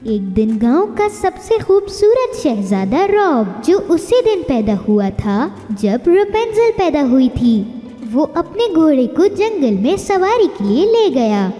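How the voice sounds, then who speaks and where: A woman's voice: high-pitched, big pitch swings, takes it slowly, mellow, big-room sound, faint music behind. A woman narrating a scripted children's fairy tale as storyteller.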